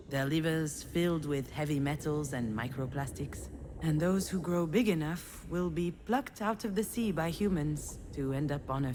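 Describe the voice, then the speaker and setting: An actress playing a failed screenwriter, a cynical, melancholic loner, in a measured, confiding, wry game monologue. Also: female voice, sits low, noisy background, natural voice. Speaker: narrator protagonist of a visual novel. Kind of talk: introspective scripted monologue.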